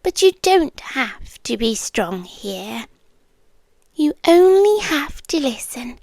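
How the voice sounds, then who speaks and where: A British woman playing a soothing, possessive hypnotist girlfriend in an ASMR roleplay, speaking softly and breathily, often in a whisper, close to the microphone. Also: sits high, sing-song, no room sound, exaggerated voice. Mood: soothing, hypnotic. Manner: slow, hypnotic, coaxing.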